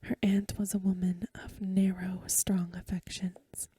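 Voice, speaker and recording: Breathy whispering woman reading softly. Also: medium pitch, level tone, a little slow, no echo. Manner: gentle, hushed, careful reading. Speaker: ASMR host. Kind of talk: soft-spoken ASMR story reading. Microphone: close microphone.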